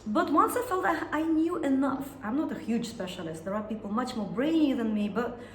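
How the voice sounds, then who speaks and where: A woman's voice: fairly high pitch, lively intonation, brisk. Russian-accented woman, thoughtful, echoey room. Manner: thoughtful, searching for words, frequent restarts. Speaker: singer and songwriter. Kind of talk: interview conversation. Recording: echoey room with some noise.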